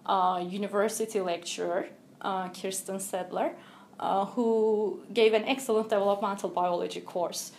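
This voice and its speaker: A woman from Turkey, a researcher guest answering interview questions in a careful, explanatory way with thoughtful pauses. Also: mid-range voice, steady tone, a little mellow, a little hiss or hum.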